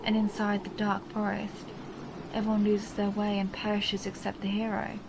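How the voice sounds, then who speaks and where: A woman playing a seductive, possessive vampire countess in an intimate audio roleplay, speaking slowly and teasingly, often dropping toward a breathy whisper. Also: medium pitch, completely flat delivery, noisy background, natural voice. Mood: curious, amused. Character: seductive possessive vampire countess toying with her human captive. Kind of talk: intimate dark romance audio roleplay, ASMR-like.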